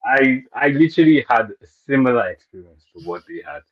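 A man's voice: medium pitch, big pitch swings, a little fast, a crowd in the background. A man from Nigeria, hosting a podcast chat in a thoughtful, hedging way full of fillers. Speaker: podcast host. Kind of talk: casual chat. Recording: dull lo-fi audio.

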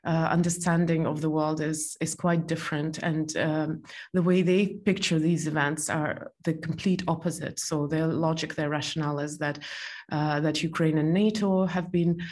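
A woman from Estonia speaks as an interview guest in a low, deep-set voice. Her answers come out measured and unhurried, with frequent pauses, fillers and restarts as she gathers her thoughts. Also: fairly even intonation, a little mellow.

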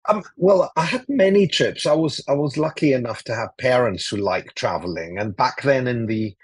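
A man in his forties from Greece, talking as a podcast guest in a relaxed, rambling way with many fillers and restarts over a remote call. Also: mid-range voice, moderate pace, slightly rough, no echo. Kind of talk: casual interview talk. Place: cozy room.

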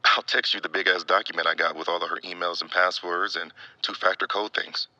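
A man's voice: low voice, flat delivery, brisk, bright, forceful tone, no echo, muffled recording. A young man playing an earnest, easygoing friend on a call in an audio drama, talking casually with slang. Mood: hopeful. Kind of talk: naturalistic audio drama acting.

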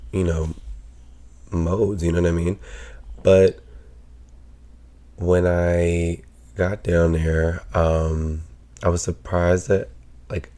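A man hosting his own podcast, talking casually with a sick, raspy voice, rambling with lots of fillers and swearing. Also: deep voice, dark tone. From Pennsylvania. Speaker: podcast host. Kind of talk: casual solo podcast chat.

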